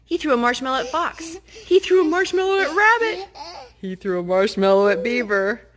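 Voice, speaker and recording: A woman reading children's picture books aloud in a gentle, animated storytime voice, stopping to talk with the children. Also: high-pitched, normal speed, crowd noise. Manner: gentle, animated read-aloud voice, talking to the children between pages. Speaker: storytime reader.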